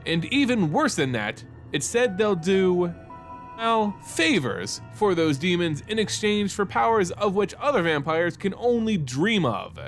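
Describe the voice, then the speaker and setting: A man hosting and narrating fantasy lore in a scripted, theatrical and expressive storytelling style. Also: sits high, a little slow, faint music behind.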